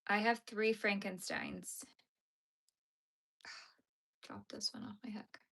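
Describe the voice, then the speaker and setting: A woman's voice: medium pitch, fairly even intonation, slow, creaky, a bit bright, low-quality recording. A young Canadian woman with a Canadian accent, chatting casually on a livestream, answering viewers' comments while she crochets.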